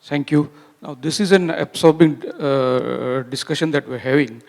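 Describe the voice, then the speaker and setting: A man moderating a conference panel, polite and halting with many repeats. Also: on the high side, creaky, a little mellow. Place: conference hall.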